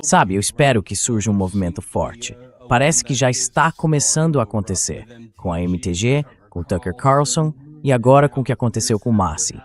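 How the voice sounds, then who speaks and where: A man's voice: mid-range voice, expressive, fairly quick. A man as a talk show host, in a translated conversational interview voice-over.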